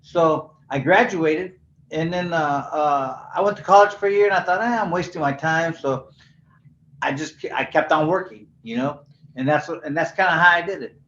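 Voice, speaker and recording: A man's voice: on the high side, normal speed, a bit bright, a little hiss or hum. Laid-back older man in an echoey room. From California. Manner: laid-back, rambling, heavy "you know" fillers. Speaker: guest, former professional boxer. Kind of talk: casual storytelling interview. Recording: echoey, dull lo-fi sound.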